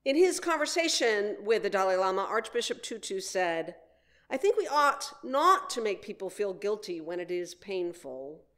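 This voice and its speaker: A woman minister delivering a prepared sermon in measured, deliberate phrases with frequent pauses. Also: medium pitch, big pitch swings, fairly bright tone.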